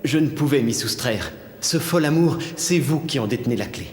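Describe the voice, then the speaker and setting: A courtly young prince voiced by a man, earnest and ardent, in a cartoon fairy tale. His mid-pitched voice is formal and deferential, with long, echoing hall reverb around it. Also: expressive, normal speed, close to an everyday voice.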